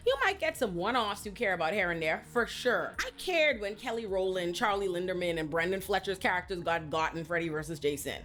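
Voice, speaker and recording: Animated woman hosting a video essay. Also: mid-range voice. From Bahamas. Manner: animated, profane, comic asides. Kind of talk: casual commentary video essay.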